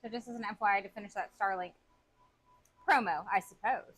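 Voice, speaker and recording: A woman co-hosting a cooking stream, reading out chat and teasing her husband in quick banter. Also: mid-range voice, a bit bright. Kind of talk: casual live-stream chat.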